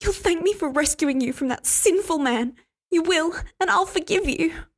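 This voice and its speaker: A woman's voice: sits high, moderate pace, voice pushed up. A woman reading a story aloud, voicing a pious, controlling woman who talks in a sweet, syrupy, condescending way. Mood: tearful, insistent.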